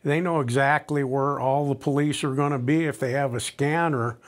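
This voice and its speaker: A man's voice: medium pitch, fairly even intonation, normal speed. A man explaining his view in a news interview as a professor and former police officer.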